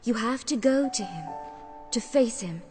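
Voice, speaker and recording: A woman playing a tender, solemn mother appearing in a dream in an animated fantasy game, gentle and earnest. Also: fairly high pitch, unhurried. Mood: urgent, tender. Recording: long reverb with music behind.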